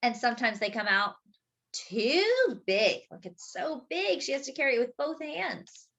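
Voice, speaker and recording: A woman with a high, lively voice reads a picture book aloud to young children. She takes her time, lingering on important words with exaggerated emphasis. Between sentences she adds short, simple explanations for her listeners. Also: unhurried, muffled recording. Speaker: children's story time reader and host.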